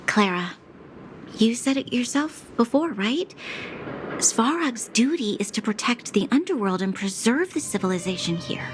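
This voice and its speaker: A woman playing a calm, reasoning resistance member in a video game, measured and persuasive. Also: a little high, noisy background, lightly acted. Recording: clear background music under voice.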